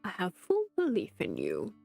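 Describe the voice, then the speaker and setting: A woman voicing a loving aunt's farewell letter, soft and partly whispered, over faint music. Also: lowish voice, wildly swooping pitch, moderate pace, vocal fry, full character voice. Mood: tender.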